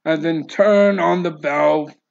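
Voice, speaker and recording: A man's voice: on the high side, takes it slowly, gravelly, a little mellow, highs cut off. A man voicing a grim, resolute, fatalistic man giving commanding instructions in an audiobook story. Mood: calm, instructing. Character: grim, resolute man instructing his own death ritual.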